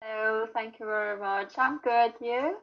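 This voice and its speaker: A woman's voice: on the high side, unhurried, dull audio. A woman from Taiwan, an assistant professor, answering interview questions thoughtfully with frequent fillers.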